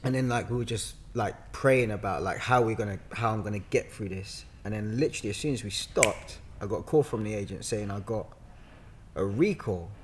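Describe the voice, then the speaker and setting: A man's voice: lowish voice, brisk, a little hiss or hum. London man telling stories casually. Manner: animated, bantering, peppered with slang. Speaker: podcast guest, actor. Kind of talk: casual conversational storytelling. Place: bright living room, on a sofa. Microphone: podcast mic on a boom arm.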